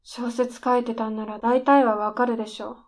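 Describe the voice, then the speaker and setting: Radio drama role, played by a woman: would-be female screenwriter at the end of her rope, higher-pitched, needling and defiant. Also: little pitch movement, fairly quick, a little mellow, close to an everyday voice. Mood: bitter, challenging. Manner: needling, intense, provocative polite speech. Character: desperate, defiant, lazy aspiring female scriptwriter. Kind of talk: radio drama dialogue acting.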